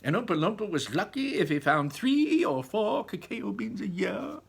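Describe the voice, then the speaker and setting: A man's voice: sits high, big pitch swings, normal speed, creaky, husky, pitched above the performer's own voice, full character voice. A man voicing a proud, eccentric chocolate factory owner in a theatrical, emphatic storytelling manner for a children's book. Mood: eager, explaining. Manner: exclaiming, dramatic, emphatic storytelling.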